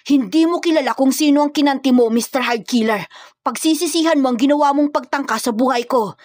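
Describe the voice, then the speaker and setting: Lady doing nasty crooked mayor villain, arrogant, threatening, forceful delivery, highish pitch, really dry audio. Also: fairly quick, no echo, natural voice. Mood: menacing. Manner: emphatic, threatening. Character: menacing, arrogant corrupt mayor and drug lord, male villain.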